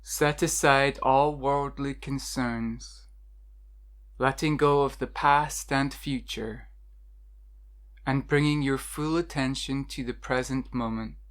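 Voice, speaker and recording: A meditation guide narrating a scripted guided meditation in a measured, instructional way with deliberate pauses. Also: medium pitch, fairly even intonation.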